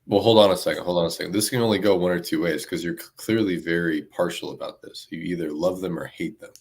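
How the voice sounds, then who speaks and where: Low-voiced male co-host with easy, teasing banter. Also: steady tone, quick pace, darkish tone, breathy edge. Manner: teasing, laid-back banter. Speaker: podcast co-host. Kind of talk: casual live podcast chat.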